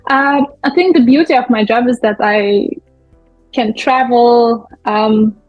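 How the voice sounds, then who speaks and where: A young woman from Lithuania, a guest speaking in a friendly, halting way with many fillers. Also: a little high, no room sound, faint music behind. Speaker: guest, business owner. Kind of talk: casual interview talk. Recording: muffled, dull audio.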